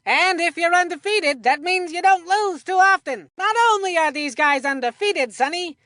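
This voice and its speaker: A woman voicing an excitable, folksy cartoon baseball commentator for a children's video game, with colloquial, emphatic delivery. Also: very high-pitched, moderate pace, no echo, clean studio sound. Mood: earnest, hyped.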